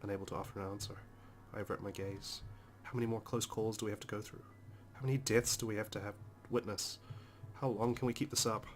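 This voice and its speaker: Low, even-toned man narrating over music. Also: fast talker, few pauses. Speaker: let's play host reading the game's text. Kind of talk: dramatic reading of first-person story narration. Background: game music.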